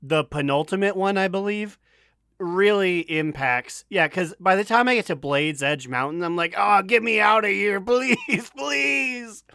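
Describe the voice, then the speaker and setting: Male podcast co-host, fairly high voice, close dry sound, casual and jokey delivery. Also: unhurried, vocal fry, bright, forceful tone, clear, resonant tone, no echo. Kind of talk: casual joking podcast chat.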